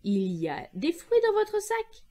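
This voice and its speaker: A woman's voice: extremely high pitch, wildly swooping pitch, a little slow, mellow, pitched above the performer's own voice, full character voice. A woman voicing a friendly, enthusiastic male talking tree who explains things in a children's storybook tale. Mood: enthusiastic teaching.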